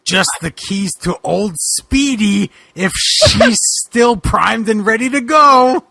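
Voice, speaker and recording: A man playing a haunted, urgent bogeyman wrestler in a hammy, halting comic reading. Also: high voice, creaky, bright, forceful tone, dry recording, voice pushed up, full character voice. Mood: urgent, troubled.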